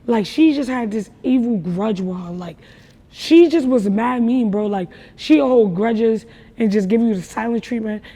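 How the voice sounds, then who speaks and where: Raspy-voiced young woman rapper. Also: fairly high pitch, unhurried, mellow, in a large hall. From New York. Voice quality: naturally raspy voice. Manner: emphatic, slangy storytelling. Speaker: rapper guest. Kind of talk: casual interview talk.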